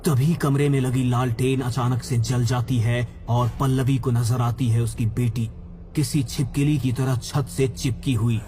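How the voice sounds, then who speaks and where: A man's voice: mid-range voice, level tone, a little slow, dark tone. A man narrating a scripted horror story for a cartoon. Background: background music.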